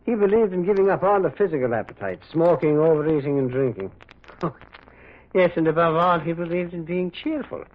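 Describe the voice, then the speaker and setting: An actor playing a gentle, inquisitive priest detective in an old radio drama, hesitant and pondering, often repeating words. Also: male voice, on the high side, lively intonation, brisk. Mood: reminiscing. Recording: hissy narrow-band vintage radio recording.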